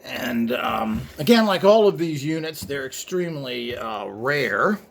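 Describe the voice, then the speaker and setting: A man's voice: mid-range voice, big pitch swings, a little slow, creaky. A man presenting an informal show-and-tell in a hesitant, rambling way, recorded indoors behind a handheld camera.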